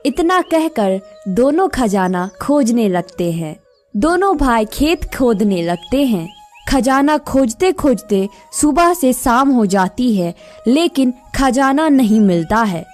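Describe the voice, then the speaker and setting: A woman narrates a scripted moral tale in a fairly high voice. She takes her time with each sentence, very slowly, as a storyteller does, over faint music. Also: big pitch swings, a little mellow.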